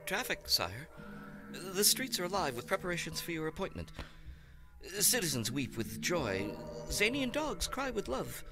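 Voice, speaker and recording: A cowardly alien servant who grovels before his master. The voice is high and crackly, leaping about with nervous, oily flattery. It is campy sci-fi radio comedy with music behind. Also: unhurried, full character voice. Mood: fawning. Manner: obsequious, florid flattery, nervous.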